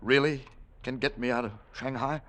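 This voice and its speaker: A man's voice: mid-range voice, steady tone, moderate pace, fairly bright tone, close to an everyday voice. An actor playing a panicky, cornered male embezzler in old radio drama style, nervous, stammering and pleading. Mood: shaky, nervous. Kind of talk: 1940s-50s radio drama acting. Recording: faintly noisy vintage radio recording.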